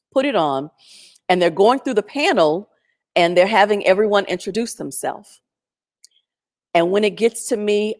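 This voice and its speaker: A woman telling a personal story in a chatty, animated way, speaking over a video call in a strong, loud voice. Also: fairly low pitch. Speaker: featured guest. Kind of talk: storytelling testimony.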